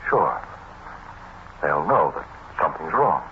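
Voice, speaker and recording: Low-voiced trapped companion, old radio horror. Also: male voice, very animated, takes it slowly, exaggerated voice. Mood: reassuring. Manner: hesitant, broken phrases. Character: brave, quietly reassuring man trapped in a dark tomb. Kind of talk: 1940s radio horror acting. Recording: tinny, hissy old-time radio recording.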